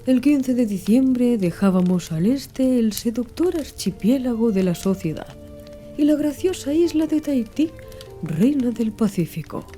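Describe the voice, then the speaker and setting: Casting: female literary audiobook narrator, slightly high voice, very warm and relaxed, expressive, measured delivery. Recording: music behind the voice. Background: background music.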